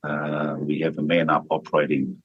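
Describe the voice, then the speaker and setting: A man's voice: rumbling low, completely flat delivery, normal speed, husky, dry recording, lo-fi sound. A man leading a church, speaking earnestly and steadily in a radio interview, with fillers and restarts. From New Zealand. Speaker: church leader.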